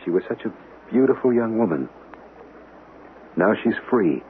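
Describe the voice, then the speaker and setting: An intense, fascinated amateur photographer in an old radio drama. His low voice is earnest and musing, with animated inflection. The sound is dull and lo-fi. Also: a little slow. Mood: awed. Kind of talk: 1970s radio drama acting. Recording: dull lo-fi radio, faint noise.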